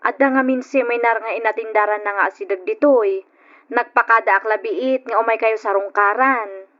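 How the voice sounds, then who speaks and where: A woman's voice: a little high, steady tone, normal speed, a bit bright, lo-fi sound, natural voice. A woman with an Ilocano accent playing a dutiful, devout, hardworking daughter in an old radio drama. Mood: earnest. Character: dutiful, devout, hardworking daughter supporting her poor family.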